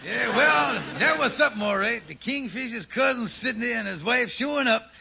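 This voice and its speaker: An actor playing an earnest, chatty best friend in a 1940s radio comedy, with a raspy, gravelly voice. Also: male voice, very high voice, lively intonation, normal speed, pushed and bright, heavily acted. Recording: dull lo-fi old radio recording.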